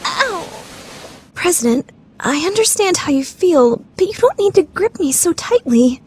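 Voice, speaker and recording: A woman's voice: very high-pitched, big pitch swings, measured pace, heavily acted. A woman playing a proud, scheming teenage student council vice president in an anime radio play, formal and theatrical. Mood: flustered, indignant. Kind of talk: anime fan dub radio play acting.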